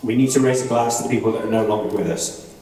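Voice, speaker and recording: A man's voice: fairly low pitch, rapid-fire, a crowd in the background. Groom in his thirties, sincere wedding speech with dry humour; distant, roomy hall sound. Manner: heartfelt with dry jokes. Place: large reception room at a wedding. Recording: echoey hall, voice from far off.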